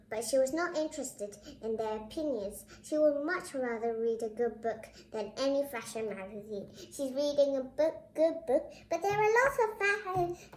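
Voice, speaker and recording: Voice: sits high, darkish tone. A child host reading a picture book aloud, addressing viewers directly in a presenterly way. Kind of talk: read-aloud storybook narration with brief asides. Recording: roomy, echoing indoor sound.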